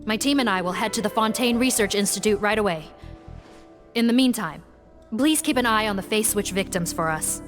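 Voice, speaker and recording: Woman voicing a serious lady officer in a game, kinda weary and by the book, normal pitch, music going. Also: a little fast, lightly acted. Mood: concerned, dutiful. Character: weary, dutiful woman investigator, formal and cautious. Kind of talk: video game voice acting.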